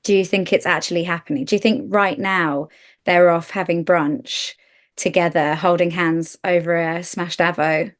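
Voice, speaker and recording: A woman's voice: on the low side, steady tone, moderate pace. A British woman co-hosting a podcast in casual, informal conversation, using plenty of slang and filler "like". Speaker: podcast co-host.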